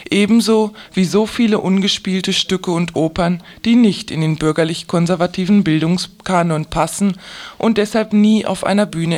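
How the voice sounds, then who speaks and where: A woman reading a scripted, critical commentary for a radio programme. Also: on the low side.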